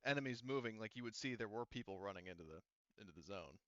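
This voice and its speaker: A man's voice: lowish voice, expressive, fast talker, pushed and bright, dry recording, lo-fi sound. A man running a tabletop roleplaying game as game master, casually thinking aloud as he works through the dice results.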